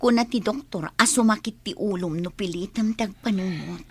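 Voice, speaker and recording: A woman's voice: mid-range voice, moderate pace, fairly bright tone, breathy edge. A woman with an Ilocano Filipino accent playing an attentive wife in a radio drama. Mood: gently coaxing. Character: attentive woman posing as an amnesiac man's wife.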